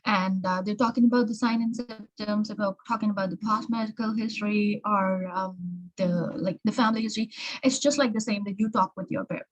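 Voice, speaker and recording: A woman's voice: mid-range voice, fairly even intonation, a little fast, rough recording. Woman instructor with an American-tinged accent, informal and chatty, repeats herself while giving exam tips. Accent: learned American English. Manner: chatty, repeats words and restarts often.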